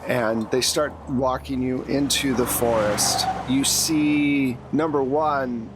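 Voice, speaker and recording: A man's voice: medium pitch, fairly even intonation, takes it slowly, heavy background noise. A man narrating a tabletop roleplaying game as game master, telling the story in a conversational way.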